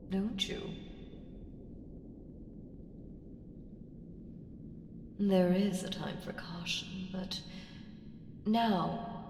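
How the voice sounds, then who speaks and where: A woman playing an ancient evil entity in an ASMR audio roleplay, coaxing and condescending, commanding and seductive, with deliberate delivery that slips into whispers. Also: on the low side. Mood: persuasive, condescending. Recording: roomy long reverb with clear background noise.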